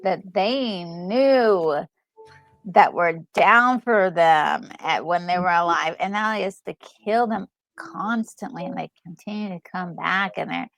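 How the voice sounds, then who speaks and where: A woman co-hosting a gaming discussion, talking casually and enthusiastically in a halting, emphatic way over music. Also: fairly low pitch, fairly bright tone, no echo. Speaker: gaming channel co-host. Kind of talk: casual commentary on a game story. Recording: music playing behind a close, dry voice.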